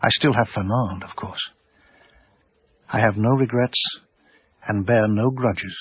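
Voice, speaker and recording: An actor playing a genteel, melancholy middle-aged English art dealer in a radio drama, literate and precise in manner. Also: male voice, lowish voice, unhurried, breathy edge, lo-fi sound. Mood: wistful. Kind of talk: radio drama narration and dialogue.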